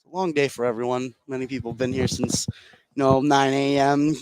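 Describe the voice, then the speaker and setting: A man's voice: mid-range voice, steady tone, a little fast, a little mellow, slight background noise, rough recording. A man giving live color commentary and analysis at a basketball game.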